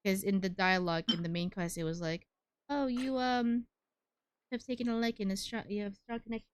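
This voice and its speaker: A woman's voice: medium pitch, steady tone, a little fast, slight background noise. A woman streamer giving casual, chatty gaming commentary with a playfully sarcastic manner. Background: loud game music.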